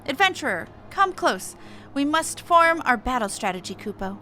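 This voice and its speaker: Woman voicing a pompous, bright little moogle hero. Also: high voice, very animated, moderate pace, slight background noise, full character voice. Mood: gallant, boastful. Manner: pompous, florid heroic speech. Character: boastful little male moogle adventurer, gallant and eager helper. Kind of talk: reading game dialogue in character.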